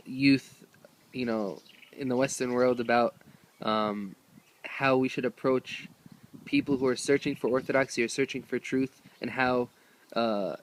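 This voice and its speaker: A man's voice: medium pitch, poor audio. A man interviewing a guest, asking questions and murmuring agreement. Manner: soft backchannel murmurs. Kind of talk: casual interview.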